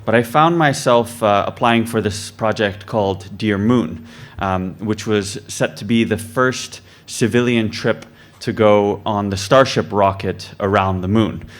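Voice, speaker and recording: A young man born in the UK gives an earnest self-introduction at a mic, relaxed and loose, with plenty of ums and uhs. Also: on the low side, a little slow. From born in the UK, raised in many countries. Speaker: photographer and filmmaker. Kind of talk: short self-introduction speech. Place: club event hall, talking at a mic.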